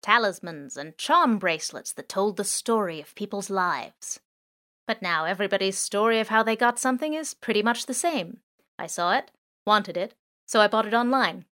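A woman voicing a playful, chatty male drone that talks with natural pauses and indecision. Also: medium pitch, expressive, pushed and bright. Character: loud talkative male surveillance drone, playful.

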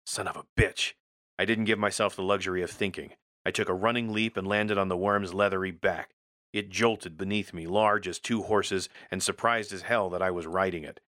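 A man's voice: sits low, steady tone, moderate pace, a bit bright, dry recording, clean studio sound. A man reading a first-person military science fiction story as a scripted narrator, in a gritty, terse storytelling manner.

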